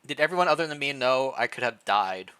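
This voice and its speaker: A man reads as a young royal heir from a fantasy storybook, weakened but stubborn. The voice is bright and the manner questioning and insistent. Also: mid-range voice, expressive, brisk, clear, resonant tone. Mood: anxious, questioning. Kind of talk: storybook character reading.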